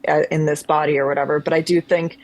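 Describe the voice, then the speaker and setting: A woman's voice: low-pitched, flat delivery, fast talker. A woman, a conservatory-trained actress, speaking as a guest on a video call, thoughtful and meandering with frequent restarts. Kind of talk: reflective conversational chat.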